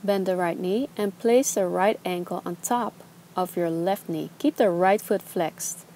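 A young woman teaching a gentle yoga class, giving slow, soothing instructions. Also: on the low side, slight background noise. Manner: calm, slow, soothing cues. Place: outdoors on a beach.

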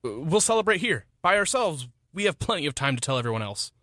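An actress playing an attentive, caring spouse in a radio play, earnest and direct in conversation. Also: female voice, sits low, sing-song, fast talker, a bit bright, dry recording, clean studio sound, full character voice. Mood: elated. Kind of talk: radio play acting, domestic dialogue.

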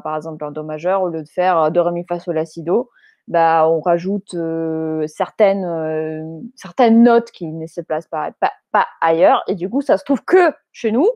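A young woman guest speaking on a video call through wired earphones, animated and searching for words; her first language is Ukrainian. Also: fairly low pitch, moderate pace, no room sound. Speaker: guest composer. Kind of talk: casual video call discussion. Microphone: earphones with cable mic. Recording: dull lo-fi call audio.

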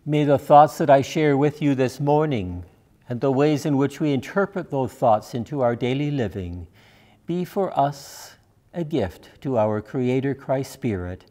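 An older man, a minister giving a sermon in a measured delivery from a church pulpit. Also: medium pitch, dark tone.

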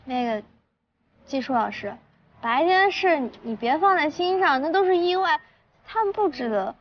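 A woman's voice: high voice, lively intonation, normal speed, highs cut off. A woman playing a bubbly, earnest, slightly awkward young professional in a modern romantic drama. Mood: awkward, gentle. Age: young adult. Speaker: actress in a television drama.

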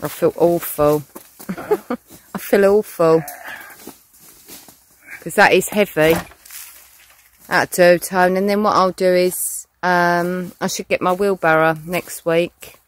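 A woman with a loud, shouty voice chatting casually to a handheld camera outdoors on rural land. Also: fairly low pitch, steady tone, takes it slowly, pauses often, a little hiss or hum. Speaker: vlogger.

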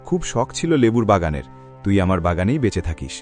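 A man voicing a humble, gentle, worried village farmer in a cartoon, earnest and plaintive. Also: sits low, big pitch swings, fairly quick, soft background music. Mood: wistful. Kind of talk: cartoon voice acting.

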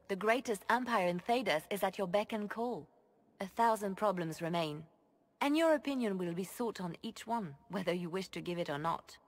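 A woman's voice: medium pitch, close to an everyday voice. A woman playing a poised, formal spymaster. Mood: wry. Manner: measured, formal, lightly amused. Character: composed female spymaster, loyal and wry. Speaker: spymaster advising the Inquisitor. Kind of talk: video game cutscene voice acting.

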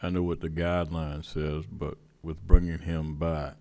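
A man's voice: rumbling low, level tone, normal speed, dark tone. A man on the council, politely asking one careful question in a large chamber. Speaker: council member.

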